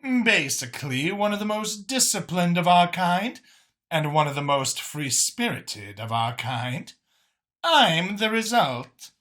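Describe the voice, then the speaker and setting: An imperious alien councilwoman from a science fiction audiobook, acted out by a man, pitched high and swinging widely in tone. She is confident and formal, leaning deliberately on her words with a bright edge. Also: big pitch swings, full character voice. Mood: proud explaining. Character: imperious female alien official, confident, formal, free-thinking council chair.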